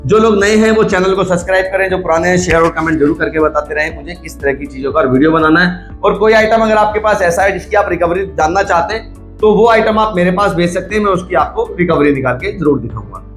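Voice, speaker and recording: A man's voice: sits high, fast talker, fairly bright tone. A man talks through a practical demonstration. He explains each step in an instructional way, going back over key points. Faint music plays underneath him. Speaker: video host, scrap recycler. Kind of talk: hands-on demonstration with running explanation.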